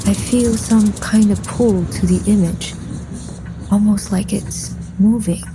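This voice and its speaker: A woman's voice: mid-range voice, fairly even intonation, slow, noisy background. A woman playing a composed, serious undead mission leader who narrates her own story in an audio drama. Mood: grave, composed. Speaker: lead character and first-person narrator. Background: music.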